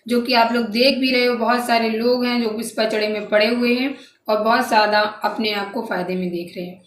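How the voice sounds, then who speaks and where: A woman's voice: a little high, fairly even intonation, a little fast. A woman giving informal gossip commentary to her viewers, speaking in a repetitive, emphatic and disapproving way. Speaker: social media commentator. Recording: roomy echo, distant mic, background noise.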